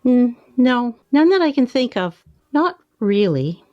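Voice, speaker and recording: An actress in a radio play playing a contented middle-aged wife, demurring in an understated way. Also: female voice, on the high side, very animated, unhurried, no room sound, lo-fi sound. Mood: bashful, demurring.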